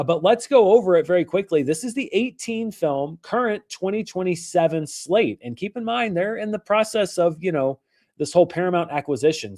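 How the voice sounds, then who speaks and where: A hoarse man hosting a casual live-stream about movie news, rambling and correcting himself as he goes. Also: a little high, a little mellow. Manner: rambling, self-correcting, chatty. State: hoarse from allergies.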